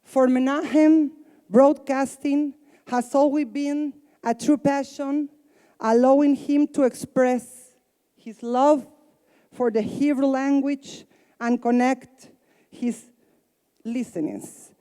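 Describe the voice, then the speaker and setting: A woman's voice: high voice, steady tone, takes it slowly, long pauses, warm tone. A woman giving a formal, scripted introduction of the next speaker at an event. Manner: formal, read-aloud. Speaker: event presenter. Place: large conference hall. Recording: reverberant hall.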